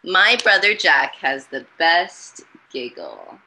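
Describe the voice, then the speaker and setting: A woman reading a children's storybook aloud and commenting in a gentle, encouraging way. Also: deep voice, wildly swooping pitch, slow, slight background noise, rough recording. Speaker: storytime reader and host.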